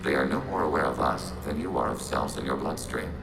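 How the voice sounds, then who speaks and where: An actor voicing a calm, logical machine mind in a video game, measured and formal, with synthetic processing on the voice. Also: male voice, extremely low pitch, creaky, music in the background. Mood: clinical, informative. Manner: measured, clinical, formal, speaks as "we". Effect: synthetic robot voice processing. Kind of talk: video game character acting.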